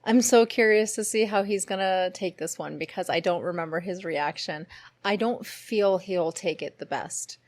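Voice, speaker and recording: A woman's voice: fairly low pitch, normal speed, dry recording. A woman from Brazil giving casual, amused commentary while reacting to an old dating show. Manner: chatty, amused, laughs often. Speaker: reaction video host.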